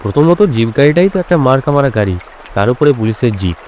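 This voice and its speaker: A man playing private detective, middle pitch, commanding, crisp and decisive, radio-play style, light background ambience. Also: animated, quick pace, background noise, close to an everyday voice. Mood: brisk, commanding. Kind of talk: audio drama acting.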